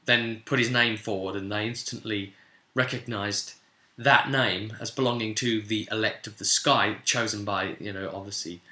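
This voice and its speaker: A man's voice: lowish voice, sharp tone. A man giving a casual, rambling spoken recap of a tabletop role-playing session as its game master. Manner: rambling, conversational asides. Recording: roomy, echoing voice recording.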